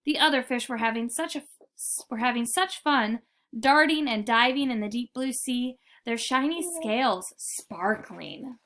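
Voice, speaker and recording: A woman narrating a children's picture book in an expressive, deliberate storytelling manner. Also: on the high side, slight background noise. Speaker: read-aloud storyteller.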